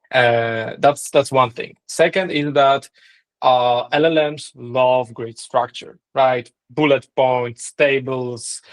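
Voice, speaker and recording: A man with a Polish accent answers a podcast host's questions in English, a language he learned later. He thinks aloud, restarting phrases and checking in with "right?". His voice sits at an ordinary middle pitch. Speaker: co-founder and marketing lead, guest. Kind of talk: podcast interview.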